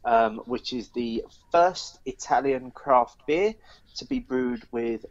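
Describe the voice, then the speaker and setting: A man's voice: mid-range voice, expressive, takes it slowly, no echo, lo-fi sound. A man with a British accent, talking casually as co-host of a beer podcast.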